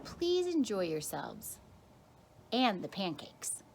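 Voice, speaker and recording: A woman's voice: mid-range voice, huge pitch swings, slow, creaky, slight background noise, heavily acted. A woman voicing a gracious male mayor in a children's story, speaking formally and gratefully to townsfolk. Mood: gracious, welcoming. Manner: formal, grateful public-speech delivery.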